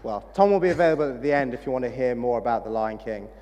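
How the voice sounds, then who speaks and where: A man's voice: mid-range voice, expressive, rapid-fire, darkish tone, big-room sound, lo-fi sound. A man interviewing a guest at a student event, asking questions in a jokey, teasing way. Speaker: interviewer, host.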